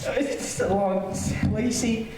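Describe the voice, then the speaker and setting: A woman's voice: on the high side, moderate pace, a loud crowd, natural voice. A woman playing an awkward, rambling teenage boy in old-time radio comedy style. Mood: worried, rambling. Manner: rambling, gee-whiz slang. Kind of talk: 1940s radio comedy acting. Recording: very echoey, distant, clear noise.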